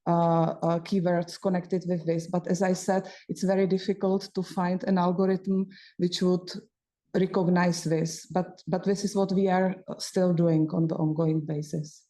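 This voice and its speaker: Woman, panel moderator, flat low voice, mellow, measured. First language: Czech. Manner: measured, steering the discussion. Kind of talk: panel discussion.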